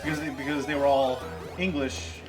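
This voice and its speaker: A man's voice: medium pitch, level tone, moderate pace, over music. A man playing a game on a live charity stream, answering questions with dry, laid-back remarks. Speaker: marathon player. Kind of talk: casual live-stream banter.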